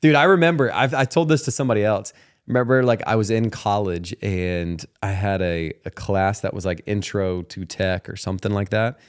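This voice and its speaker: Low-voiced man in his thirties, podcast host. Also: moderate pace, smooth. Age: 30s. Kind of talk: casual podcast chat.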